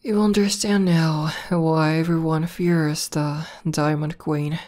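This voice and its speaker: A bashful tomboy demoness warrior, played by a woman, speaks to her partner in an intimate ASMR roleplay. Her voice is notably low and her delivery slow. She sounds soft, hesitant and deeply affectionate. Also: fairly even intonation. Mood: tender, bashful. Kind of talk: ASMR roleplay, intimate one-sided conversation.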